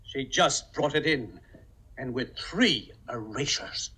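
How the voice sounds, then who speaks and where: An actor playing a fussy, pompous senior partner in a firm, formal and deliberate, in 1950s radio comedy style. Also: male voice, mid-range voice, lively intonation, sharp tone, husky, heavily acted, rough recording. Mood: pompous indignation.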